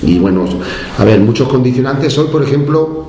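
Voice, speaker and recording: A man's voice: medium pitch, animated, normal speed, dark tone, echoey room, slight background noise. A man from La Mancha in Spain with a Spanish La Mancha accent, a football coach giving a post-match interview, hesitant and often repeating his words.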